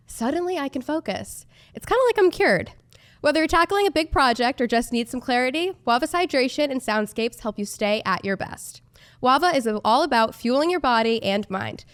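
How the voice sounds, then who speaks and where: Upbeat woman reading an ad. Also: a little high. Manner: upbeat, chatty. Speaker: co-host reading an ad. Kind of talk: read ad.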